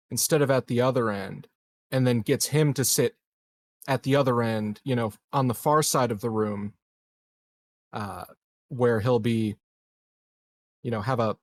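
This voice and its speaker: A man with a mid-pitched voice talks casually as a player in a tabletop roleplaying game. He is tentative and halting, often repeating words while he thinks a plan through aloud.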